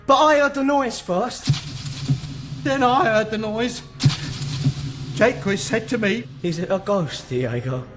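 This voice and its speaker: Panicky high-pitched foolish castle guard. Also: male voice, takes it slowly, long pauses, over music. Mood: frightened, excited. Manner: excitable, breathless storytelling. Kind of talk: gothic audio drama acting.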